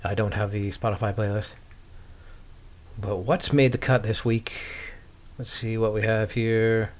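A man's voice: fairly low pitch, fairly even intonation, measured pace. A man hosting a skating podcast, chatting casually and ramblingly with dry, wry humour as he answers viewer questions.

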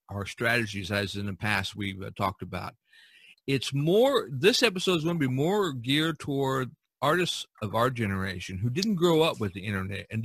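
A middle-aged or older American man hosting a podcast in a conversational way, with a husky voice. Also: fairly low pitch, a bit bright, no echo. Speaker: podcast host.

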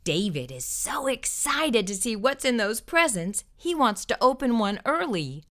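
A woman's voice: a little high, big pitch swings, unhurried, fairly bright tone. A middle-aged woman hosting a children's storytime, reading aloud expressively with exaggerated emphasis and addressing the young listeners directly. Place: small furnished room indoors. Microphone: lapel microphone.

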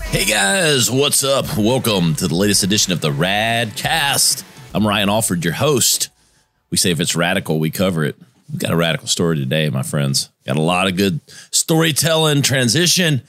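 A man's voice: lowish voice, very animated, moderate pace, a bit bright, faint music behind. A man in his forties hosting a podcast interview, talking casually, laughing often and swearing freely. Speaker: podcast host. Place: home studio with posters. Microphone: headphones and boom mic.

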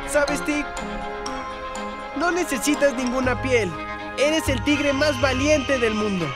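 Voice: high voice, slow. An actor voicing a bold, determined young male leopard cub with an eager, urging manner in a children's cartoon. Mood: relieved, sincere. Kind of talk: animated children's cartoon acting. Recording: loud music behind.

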